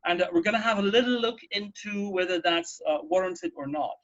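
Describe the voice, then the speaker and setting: Higher-voiced man, casual radio chat, dull sound. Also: fairly quick, a bit bright. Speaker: radio show co-host. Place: at home. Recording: dull, lo-fi home recording.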